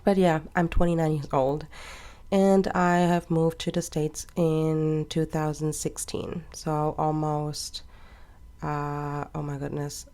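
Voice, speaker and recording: A woman in her twenties chatting casually and rambling a little as she decorates her planner. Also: low voice. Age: 20s. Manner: chatty and rambling, with soft laughs. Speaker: planner vlogger. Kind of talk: casual chat while planning.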